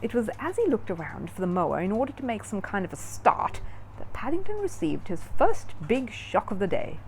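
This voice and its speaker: Animated woman reading a children's story. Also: medium pitch, a little hiss or hum, rough recording. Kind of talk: expressive children's audiobook narration.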